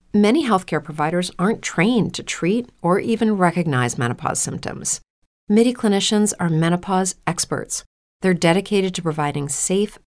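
A woman's voice: fairly low pitch, darkish tone. An American woman delivering a scripted, reassuring advertisement voice-over. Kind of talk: scripted ad read.

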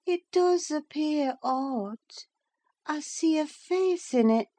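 A woman's voice: sits high, animated, takes it slowly, warm tone, pitched above the performer's own voice. A woman voicing a delirious, frightened young married woman in an audiobook of a classic novel, fretful, pleading and prone to gasping exclamations. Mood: feverish, wandering.

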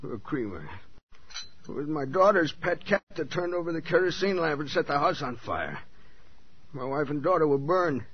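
High-pitched, troubled radio-thriller handyman. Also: sing-song, moderate pace, slightly rough. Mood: bitter, weary. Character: deferential, troubled handyman, desperate and superstitious. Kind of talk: 1940s radio thriller acting. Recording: muffled, noisy 1940s radio broadcast.

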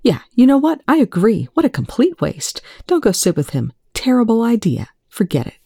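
A woman reading aloud, voicing a distraught young man who shouts and then chokes out whispers through tears. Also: fairly low pitch, moderate pace, no room sound, studio quality, close to an everyday voice. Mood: bitter.

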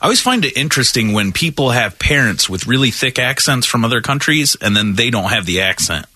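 A man's voice: mid-range voice, sing-song, brisk, sharp tone, slightly rough, dry recording, studio quality. A man co-hosting a radio show in casual, curious chat.

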